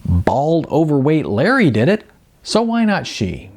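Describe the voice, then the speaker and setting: A man's voice: fairly high pitch, wildly swooping pitch, measured pace, darkish tone. A man narrating as an author, reading from his own fiction. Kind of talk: scripted audiobook-style narration.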